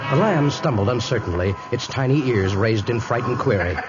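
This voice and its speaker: A man's voice: mid-range voice, lively intonation, normal speed. A man narrating a 1950s radio adventure in dramatic storytelling style, on an old muffled recording. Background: dramatic music.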